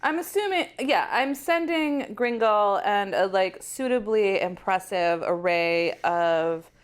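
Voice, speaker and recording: A woman's voice: mid-range voice, slow pace, smooth. Wry, articulate woman playing a tabletop game. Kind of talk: casual in-game discussion.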